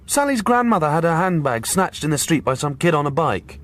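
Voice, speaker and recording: Young policeman, radio crime drama. Keen, earnest, widely varying pitch, slightly high. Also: big pitch swings, fairly quick, a bit bright.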